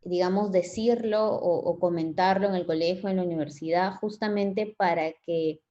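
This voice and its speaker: A woman's voice: fairly low pitch, steady tone, normal speed, warm tone. A woman hosting an interview, asking questions in a conversational, inquisitive way and giving frequent backchannels. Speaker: interviewer host. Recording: dull lo-fi sound.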